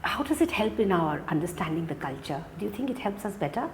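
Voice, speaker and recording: Woman host, gently prompting. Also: on the low side, big pitch swings, fast, slight background noise. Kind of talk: interviewer questions.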